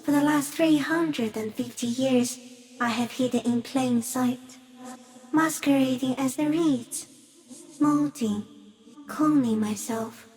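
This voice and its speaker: A woman voicing an ancient, shy giant stick insect in a video game, gentle and solemn, speaking poetically with hushed, eerie wonder. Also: sits high, takes it slowly, low-quality recording. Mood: solemn, awed. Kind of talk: slow poetic game monologue.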